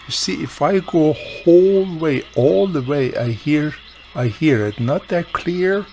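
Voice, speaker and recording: A man's voice: a little high, animated, takes it slowly, darkish tone, a little hiss or hum. A man giving a casual, hands-on repair walkthrough, explaining informally step by step as he works. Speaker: radio repair hobbyist presenting his own how-to video.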